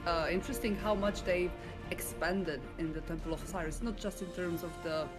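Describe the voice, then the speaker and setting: A woman's voice: fairly low pitch, brisk, over music. A woman guesting on a casual gaming livestream, joking and laughing while talking into her own microphone. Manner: animated, jokey, laughs often. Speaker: guest co-player. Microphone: own mic with a gain dial.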